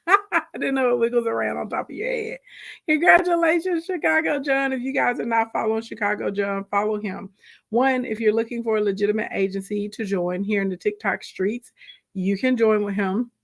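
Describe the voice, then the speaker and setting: Hoarse woman chatting on a live stream. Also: medium pitch. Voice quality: slightly raspy voice. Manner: chatty, greets viewers by name mid-sentence. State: hoarse from a sore throat. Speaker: livestream host and business coach. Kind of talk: casual livestream chat.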